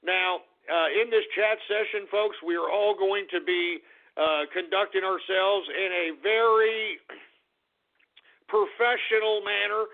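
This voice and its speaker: A man with a hoarse voice hosting an internet radio show, talking in a rambling, folksy way and calling his listeners folks. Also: high-pitched, steady tone, measured pace. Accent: Texan. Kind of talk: casual call-in radio monologue. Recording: tinny phone-quality internet radio.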